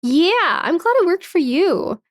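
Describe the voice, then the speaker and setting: A woman's voice: very high-pitched, sing-song, unhurried, no room sound, studio quality. A woman in her twenties, a livestreamer chatting casually with viewers, frank, swearing often and laughing a lot. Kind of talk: casual chat, reading and answering viewer comments.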